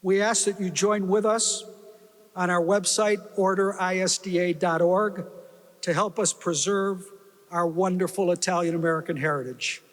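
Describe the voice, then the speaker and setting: A man's voice: high-pitched, steady tone, normal speed. A man serving as emcee, reading formal thank-yous and announcements at a gala. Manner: reads thank-yous off a script. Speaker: event emcee. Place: banquet hall at a gala. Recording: reverberant banquet hall.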